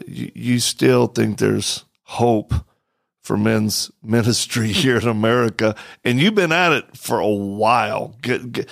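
A man hosts a podcast from a studio with a casual, joking manner. He talks bluntly and directly, and his low voice carries a strong gravelly fry. The sound is close and dry. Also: dry recording. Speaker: podcast host. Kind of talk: casual interview chat.

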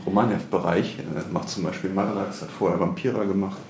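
A man's voice: low voice, steady tone, a little fast, darkish tone. A man hosting a podcast, chatting casually as he interviews staff on location. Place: indoors, publishing house office. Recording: echoey office, clear background noise.

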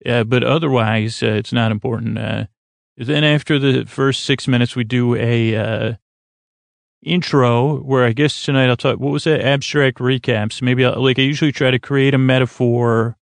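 Guy with a gravelly creaky voice doing a meandering sleep podcast, soothing, keeps losing his thread, super dry close sound. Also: medium pitch, steady tone, normal speed, dry recording. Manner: meandering, stumbling, self-deprecating, often trailing off mid-thought; lulling, soothing delivery. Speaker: podcast host. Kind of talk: rambling, unscripted sleep podcast intro.

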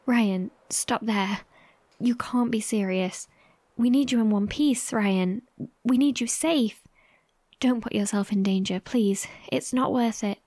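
A woman's voice: a little high, takes it slowly, darkish tone, no echo. A woman acting in an audio drama as an anxious, caring radio host to survivors, with a hesitant manner. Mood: worried pleading.

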